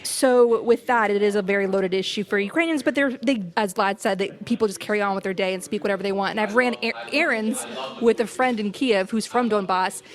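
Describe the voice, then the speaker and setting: A woman's voice: medium pitch, fairly quick. A woman hosting a political podcast, explaining at length with passionate emphasis. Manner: passionate, emphatic, talks in long run-on explanations. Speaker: podcast host.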